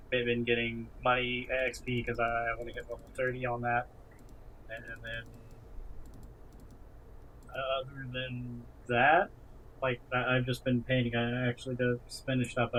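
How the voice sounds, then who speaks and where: A man co-hosting a gaming podcast, chatting casually in a halting way and often repeating words. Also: mid-range voice, monotone, fairly bright tone, a little hiss or hum, rough recording. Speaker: podcast co-host.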